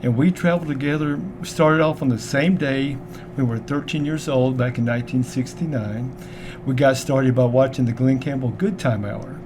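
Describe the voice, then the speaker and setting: A man's voice: mid-range voice, fairly even intonation, moderate pace. Older Tennessee man, Southern drawl, eager radio guest, noisy room. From Memphis, Tennessee. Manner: warm, enthusiastic, repeats words. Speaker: guest musician. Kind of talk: casual interview chat. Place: local radio studio.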